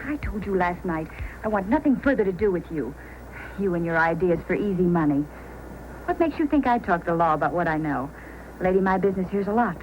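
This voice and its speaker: An actress playing a spirited, poised music hall performer in an old-time radio drama, speaking theatrically. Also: female voice, lowish voice, expressive, brisk, a little mellow, close to an everyday voice. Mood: indignant. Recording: faintly noisy old radio recording.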